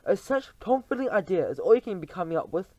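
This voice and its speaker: A man's voice: fairly high pitch, very animated, normal speed, darkish tone, no room sound, highs cut off, voice pushed up. A man reading aloud and voicing a tiny, tyrannical female witch leader who shrieks orders in stilted, odd grammar. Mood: furious.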